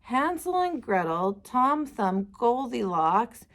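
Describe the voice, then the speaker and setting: Elderly male storybook elephant played by a woman, playful grandfather, genial, highly animated delivery. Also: slow pace, exaggerated voice. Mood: fond. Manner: playfully feigning forgetfulness, chuckling warmth.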